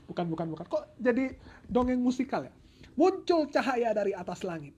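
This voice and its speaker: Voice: medium pitch, dark tone. A storyteller tells a folk tale informally and dramatically, with playful joking asides and self-corrections. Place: at home. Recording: noisy home recording with ambient sound.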